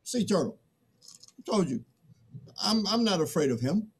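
A middle-aged man playing a cocky, whiny, childish new human in a play reading, with a husky voice. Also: sits high, very animated, moderate pace, exaggerated voice. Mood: boastful.

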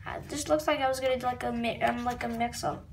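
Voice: medium pitch, steady tone, quick pace, gravelly. A child hosting a read-aloud of a comic book, stumbling over words and wandering off into asides. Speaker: read-aloud video host. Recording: noisy home recording with room ambience.

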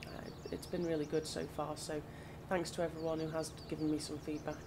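A woman with a lowish, slightly croaky voice chats easily while playing, musing aloud. Clear background noise hums under her masked-desk-mic recording. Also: fairly quick. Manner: chatty, thinking aloud, relaxed. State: slightly croaky from hay fever. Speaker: gaming channel host. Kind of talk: casual gameplay commentary.

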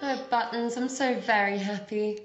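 Young actress as dreamy, oblivious pantomime heroine: sweet, fairly high voice, echoey room. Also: female voice, steady tone, normal speed, faint music behind, natural voice. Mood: dreamy, happy. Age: 20s. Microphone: video call. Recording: roomy home video-call sound.

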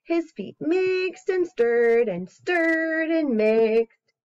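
A woman in her thirties with an expressive, singsong manner, talking to young children as an educator. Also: high-pitched, drawn-out words. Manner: expressive, singsong, encouraging young listeners to join in. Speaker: children's educator and story reader. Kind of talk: animated read-aloud and casual craft demonstration for young children. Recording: slightly dull webcam recording.

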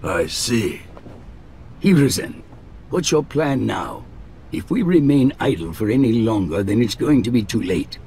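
A man's voice: on the low side, big pitch swings, a little slow, mellow, a little hiss or hum. An actor voicing a stern, scheming old male village elder, grave and pressing, in an anime dub. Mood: stern urging.

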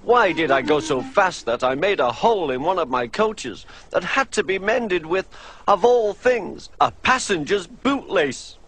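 A man's voice: high-pitched, expressive, a little slow, a bit bright, breathy edge, pitched above the performer's own voice, exaggerated voice. A man voicing a proud young male steam engine who talks earnestly and puffs with effort, in a storybook reading. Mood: fretful.

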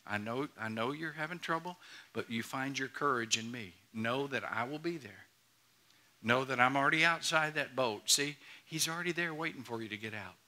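Bright, forceful middle-aged male preacher. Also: on the high side. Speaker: pastor. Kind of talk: sermon to a congregation. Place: large church auditorium, on stage before a congregation.

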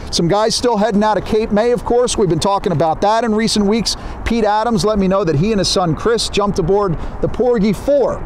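A man in his fifties chats about fishing outdoors beside a stream, his voice pitched high for a man. He rambles in a friendly, folksy way, stumbling and restarting as he goes. Faint open-air ambience sits under his voice. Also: darkish tone, slight background noise. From New Jersey. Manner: chatty and folksy, with frequent fillers and restarts. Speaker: fishing magazine editor giving a fishing report. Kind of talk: casual outdoor fishing report. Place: outdoors by a stream on a sunny winter day.

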